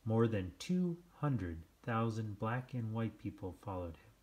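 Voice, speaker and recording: Slow, lower-voiced man reading a storybook. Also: sing-song, a little mellow. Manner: measured, deliberate phrasing with dramatic pauses. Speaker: storybook reader. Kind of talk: scripted children's read-aloud narration.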